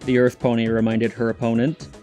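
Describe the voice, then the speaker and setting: A man's voice: mid-range voice, little pitch movement, brisk, warm tone, faint music behind. A man reading scripted story narration as the narrator.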